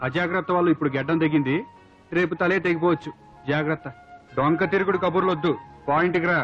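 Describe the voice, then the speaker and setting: Actor as a brusque, quick-talking young man. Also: a little high, steady tone, close to an everyday voice. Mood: startled, brusque. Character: impatient, brusque young man, son of the house. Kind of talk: Telugu comedy film acting. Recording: dull film soundtrack, faint music.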